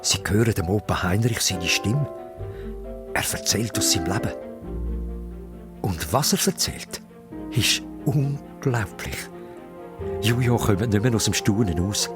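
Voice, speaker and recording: A Swiss man narrates a story for children. His voice is on the low side but very animated in pitch, with music clearly playing behind him. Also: a little slow, long pauses.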